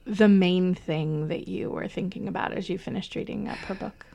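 A woman's voice: fairly low pitch, normal speed, gravelly, warm tone. Jet-lagged woman co-host, easygoing. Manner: supportive, frequent short agreements. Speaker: podcast co-host. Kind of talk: casual conversation.

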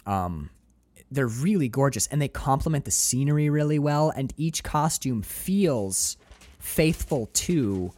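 A man co-hosting a podcast, talking casually and excitedly about a movie, rambling with restarts. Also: medium pitch, measured pace, no echo. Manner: animated, rambling with restarts and profanity. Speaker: podcast co-host. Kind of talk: casual film discussion.

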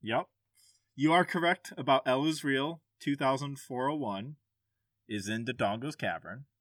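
A man hosting a casual gaming podcast quiz, reading questions and answers aloud in a somewhat halting way. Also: mid-range voice, fairly bright tone, dry recording.

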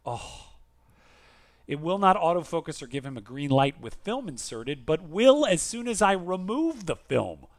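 A man's voice: a little high, very animated, moderate pace, no room sound. A man co-hosting a podcast, reading listener mail and chatting in a theatrical, emphatic, jokey way. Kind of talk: lively casual podcast chat and letter reading.

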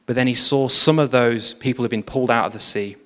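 Man, preacher, storytelling sermon, animated, echoing church hall. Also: medium pitch, fast. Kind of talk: sermon on revival history. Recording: long hall reverb.

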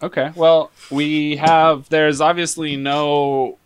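Male host, deadpan, relaxed, dry close sound. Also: on the high side, slow, clear, resonant tone, no echo. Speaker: podcast host. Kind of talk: casual chat.

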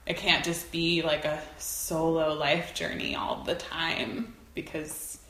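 Low-voiced, earnest woman in a roomy space. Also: flat delivery, takes it slowly, a bit bright. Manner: earnest, informal, thinking aloud. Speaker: interview guest, folk practitioner. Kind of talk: casual reflective interview conversation. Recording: roomy echo, faint background noise.

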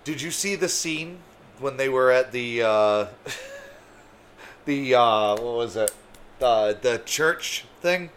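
A man's voice: medium pitch, expressive, slow, pauses often, a bit bright, a little hiss or hum. A man co-hosting a podcast in casual chat, swearing and hesitating with many fillers. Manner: profane, hesitant, filler-heavy. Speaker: podcast co-host.

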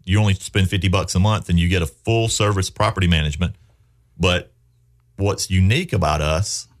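A man hosting a real estate radio show in a studio, talking in a folksy, persuasive way and asking leading questions. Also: sits low. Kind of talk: casual radio talk.

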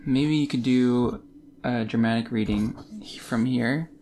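A woman's voice: rumbling low, measured pace, mellow, a little hiss or hum. A woman co-hosting a podcast, discussing books in a casual, conversational way. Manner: informal, conversational. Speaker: podcast co-host. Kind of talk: casual book discussion chat.

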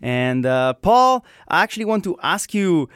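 A man hosting an interview, enthusiastic, asking long halting questions. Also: high-pitched, sing-song, a bit bright, clean tone, no echo, clean studio sound. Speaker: podcast host.

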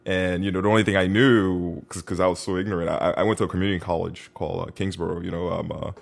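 A man from Haiti telling his life story as a podcast guest, relaxed and self-mocking, with many fillers. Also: deep voice, fast talker, gravelly. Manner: relaxed storytelling, frequent fillers, bursts of laughter. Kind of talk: casual interview.

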